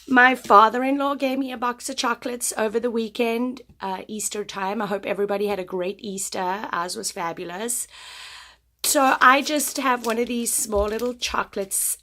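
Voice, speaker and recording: A woman's voice: fairly high pitch, bright, forceful tone. A woman demonstrating a paper craft who is losing her voice, giving chatty step-by-step instructions at her craft table. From United States. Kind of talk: craft tutorial.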